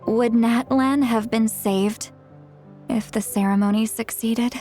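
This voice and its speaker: An earnest young warrior woman with a fairly high voice. She speaks candidly and sincerely, in an anime game style, with some music underneath. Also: fairly even intonation, takes it slowly. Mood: somber, regretful. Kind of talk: English anime game dub.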